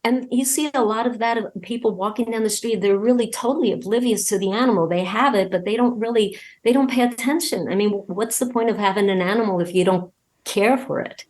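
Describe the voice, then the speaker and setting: A woman's voice: mid-range voice, a little fast, darkish tone. Female podcast guest, mid-range voice, conversational and sincere, informal interview storytelling. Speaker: podcast guest, audio drama creator. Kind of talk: casual interview anecdote.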